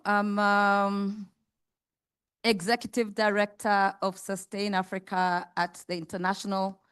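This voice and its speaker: Woman panelist at a live conference, measured and hesitant, mid-range voice. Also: medium pitch, fairly bright tone, clear, resonant tone. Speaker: panelist, development executive. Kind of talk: self-introduction on a conference panel. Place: conference stage before a live audience.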